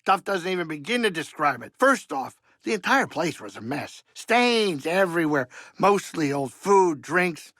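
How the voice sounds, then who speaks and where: An actor playing a wisecracking older alien pilot and cook in a video game, grumbling and blustery but warm-hearted. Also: male voice, on the high side, very animated, unhurried, hardly any pauses, dry recording, studio quality, full character voice. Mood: nostalgic. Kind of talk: video game character acting.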